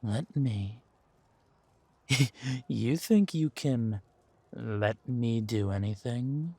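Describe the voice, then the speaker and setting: A man playing a ruthless, mocking warrior villain in a fantasy audio drama, with a husky voice and a deliberate, taunting delivery. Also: medium pitch, darkish tone, no room sound, heavily acted. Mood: mocking threat.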